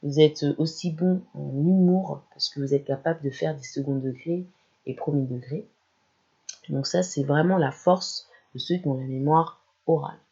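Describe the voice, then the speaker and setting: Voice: mid-range voice, normal speed, creaky, warm tone, muffled recording. A language channel presenter giving an explanatory talk that speaks straight to the listener. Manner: explanatory, addresses listener directly. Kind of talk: educational talk to camera.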